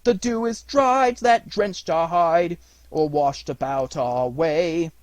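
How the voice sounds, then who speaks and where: A man voicing a wolf pack's hunting song as loud, measured, drawn-out verse from a storybook. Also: on the high side, dry recording, pitched above the performer's own voice. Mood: solemn, rousing. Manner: declamatory, drawn-out. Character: wild wolf pack chanting a solemn jungle hunting song.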